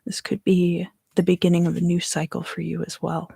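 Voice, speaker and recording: Female ASMR card reader, hushed and unhurried, low-pitched with creaky, breathy texture, frequent pauses. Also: monotone, no echo. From US East Coast South. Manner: soft, unhurried, pausing often. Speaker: tarot reader and ASMR creator. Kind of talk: whispered ASMR card reading.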